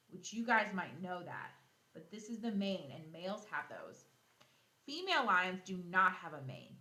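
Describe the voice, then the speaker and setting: A woman teacher giving a read-aloud lesson to young children, instructive and animated, asking questions and then answering them herself. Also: mid-range voice, roomy sound. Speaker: elementary school teacher.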